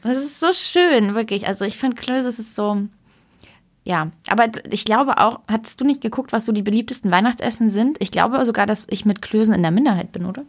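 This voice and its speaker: A young woman co-hosting a podcast, chatting casually in an animated, emphatic, rambling way. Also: on the high side, a little fast. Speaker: podcast co-host.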